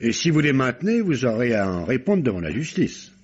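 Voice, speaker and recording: A stern examining magistrate, skeptical and easily indignant. The voice is a little husky and highly expressive, formal in wording and exclamatory. The sound is that of a muffled, vintage radio drama. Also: male voice, brisk. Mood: severe. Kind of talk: old French radio mystery drama acting.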